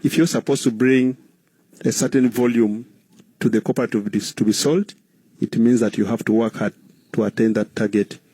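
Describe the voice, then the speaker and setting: Mellow-voiced Ugandan man explaining. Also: medium pitch, fairly even intonation, normal speed, husky, dry recording. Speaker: guest, cooperative trainer. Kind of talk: interview answers, explanatory.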